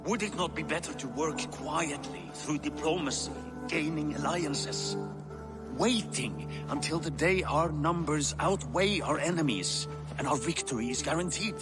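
A man's voice: fairly high pitch, a little slow, loud music behind the voice, lightly acted. A man voicing an aggrieved older Viking king in a video game, stern and commanding, with formal, reproving speech. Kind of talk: dramatic video game cutscene acting.

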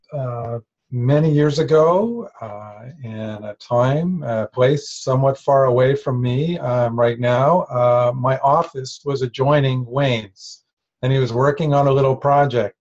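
A middle-aged man introduces himself on a video call, halting and restarting as he goes. His mid-range voice comes through muffled, lo-fi call audio. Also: medium pitch, darkish tone. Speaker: course participant.

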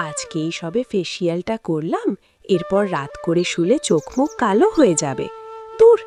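A vain, bossy village wife from a cartoon, acted by a woman, with a lowish voice that leaps wildly up and down. She scolds and whines, making demands like someone used to being served. Music plays behind her. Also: a little mellow. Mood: irritated, sulky. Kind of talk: exaggerated cartoon dialogue.

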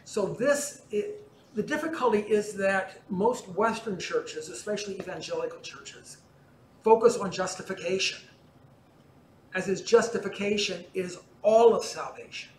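An older man giving a relaxed, conversational class in a large church, explaining and gesturing as he talks, picked up by a camera from some distance. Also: high-pitched, measured pace. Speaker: teacher giving a parish class. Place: large church interior in front of icons. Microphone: far camera. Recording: roomy church with faint hum.

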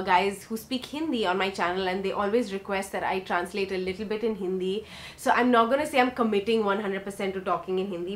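A young woman from India with a South Indian accent, chatting casually through a makeup tutorial in her bedroom and slipping in Hindi. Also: medium pitch. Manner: chatty and warm, mixing English with Hindi. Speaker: beauty vlogger. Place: bedroom, indoors.

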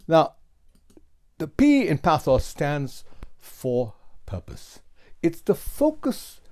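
An older man in his sixties or beyond, giving a lesson as a public speaking coach, with emphatic, theatrical delivery and deliberate pauses. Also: on the high side, huge pitch swings, dark tone. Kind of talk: keynote lesson, rhetorical storytelling. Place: small home office with bookshelves.

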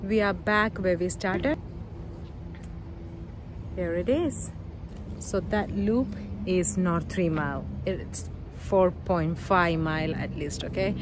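A woman's voice: lowish voice, slow pace, music in the background. A woman in her forties giving a casual guided tour of a riverside bike path as a travel vlogger, chatting to viewers while cycling. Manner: chatty, pointing out sights to viewers. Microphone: phone camera held at arm's length. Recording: loud wind and outdoor noise.

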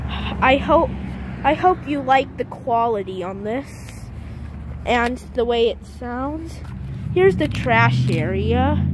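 A high-voiced woman narrates a casual walk-around video as she films. She speaks slowly and haltingly, often leaving sentences unfinished. The handheld outdoor recording has clear background noise. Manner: halting, trailing off mid-sentence. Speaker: amateur vlogger. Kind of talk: casual walk-through commentary. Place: outdoors in an empty parking lot. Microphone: handheld camera held by the speaker. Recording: noisy outdoor handheld camera audio.

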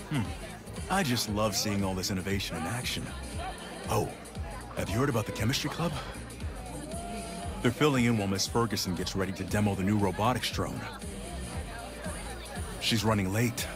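A man's voice: lowish voice, moderate pace, long pauses, natural voice. A man playing a genial, enthusiastic school staffer in a video game. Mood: pleased. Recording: busy outdoor crowd and music behind.

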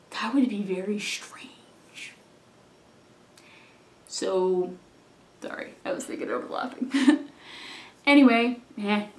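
A young adult telling a rambling personal story from a couch in a small living room, recorded by a camera a few feet away, trailing off into quiet asides. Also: medium pitch. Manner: chatty, rambling, drops to mumbles and asides. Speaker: vlogger. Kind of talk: casual storytime vlog. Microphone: camera on a tripod a few feet away. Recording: echoey room.

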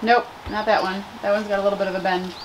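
A woman in her thirties hosts a casual vlog from a covered porch. She talks in an expressive, gushing way at an average pitch. Faint outdoor ambience sits behind her voice. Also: fast talker, background noise. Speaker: flower farmer and vlog host. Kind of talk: casual chatty vlog to camera.

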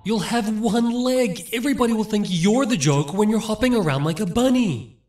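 A man's voice: high-pitched, animated, moderate pace, darkish tone. A man voicing an anxious, pleading jester monster in a video game. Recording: echoey, faint music behind.

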